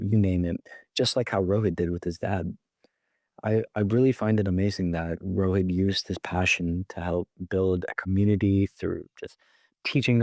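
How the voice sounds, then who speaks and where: A teenage boy with a very low voice co-hosts a school podcast in a loose, conversational way. He talks casually and leans on easy fillers. The recording is close and dry. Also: creaky, no echo. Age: teens. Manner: relaxed, with frequent fillers. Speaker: student podcast co-host.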